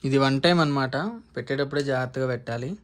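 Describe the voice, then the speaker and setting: A man's voice: mid-range voice, quick pace. A man gives a casual, explanatory step-by-step unboxing and installation demonstration, mixing in English terms. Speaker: motovlogger presenting a product unboxing. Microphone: lavalier. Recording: clearly noisy lavalier recording with ambience.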